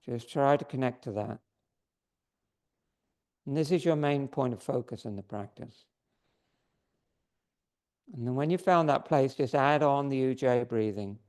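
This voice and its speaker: A male yoga teacher in a studio, talking a class through postures and breaths in calm, steady steps. His voice is sitting at an ordinary middle pitch, a little worn as he is losing it. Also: measured pace, pauses often. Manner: gives calm, step-by-step posture and breath cues.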